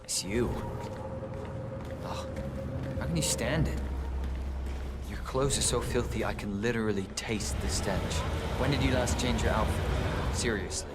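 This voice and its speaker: A man's voice: medium pitch, a little slow, pauses often, natural voice. A man playing a rude, contemptuous security guard in a video game, talking bluntly and with scorn. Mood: disgusted. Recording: loud background noise.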